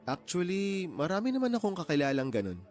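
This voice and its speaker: An actor playing a brainy, introverted, considerate young professional in a Tagalog radio drama, earnest and measured, with a Filipino accent. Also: male voice, high-pitched, huge pitch swings, a little mellow, faint music behind. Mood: modest, thoughtful.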